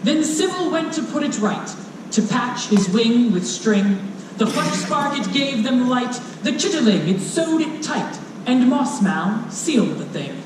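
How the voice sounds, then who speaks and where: A storyteller performing a rhyming children's book live, playful and dramatic, with drawn-out lines and asides. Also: mid-range voice, big pitch swings. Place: live performance room at a convention. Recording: roomy, distant live hall recording with noise.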